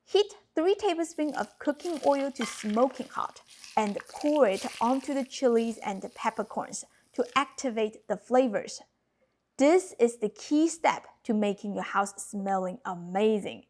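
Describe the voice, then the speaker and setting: A woman in her thirties whose first language is Cantonese, narrating a cooking lesson with clear step-by-step instructions. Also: on the high side, animated, a little slow, a little mellow. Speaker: cooking channel host. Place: home kitchen.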